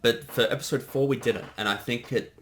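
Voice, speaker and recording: A man's voice: mid-range voice, steady tone, a little fast, fairly bright tone, a little hiss or hum. A man giving a casual creator's commentary on a production he directed, chatting conversationally.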